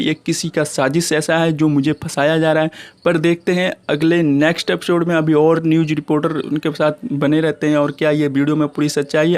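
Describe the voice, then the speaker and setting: A man's voice: a little high, darkish tone. A man with an Indian accent hosting an online channel, giving informal gossip news commentary and addressing viewers as friends in a repetitive way. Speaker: YouTube channel host.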